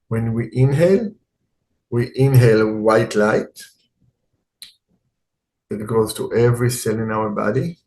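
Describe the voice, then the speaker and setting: A man teaching guided meditation in a calm, unhurried way, speaking in short phrases with long pauses. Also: fairly low pitch, a little hiss or hum, rough recording.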